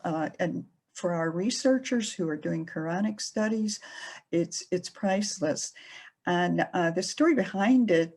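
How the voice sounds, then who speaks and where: A middle-aged woman librarian giving a presentation, speaking slowly with many pauses and fillers. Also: medium pitch, mellow, slightly rough. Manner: slow, hesitant with many fillers. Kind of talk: presentation talk.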